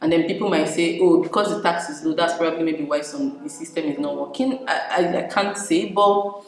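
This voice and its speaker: A young woman from Nigeria, a native Igbo speaker, chatting casually to her vlog audience, laughing often and pausing to find her words. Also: deep voice, fairly even intonation. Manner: chatty, laughs often, frequent fillers and restarts. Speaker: vlogger. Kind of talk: casual vlog talk to camera. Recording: very echoey room with long reverb.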